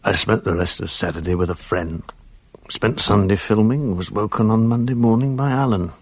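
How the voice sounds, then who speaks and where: An actor playing a bitter, sardonic middle-aged husband with a British accent in a radio drama, his voice husky. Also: lowish voice, normal speed, dry recording. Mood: dry narration. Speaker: lead actor and narrator.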